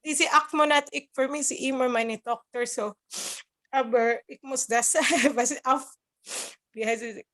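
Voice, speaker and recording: Filipino woman, slow unhurried talk, slightly high voice, halting and searching for words, laughs a lot. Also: fairly even intonation, fairly bright tone. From Philippines. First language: Cebuano. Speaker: guest, nurse working abroad. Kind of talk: casual interview chat mixing German and English.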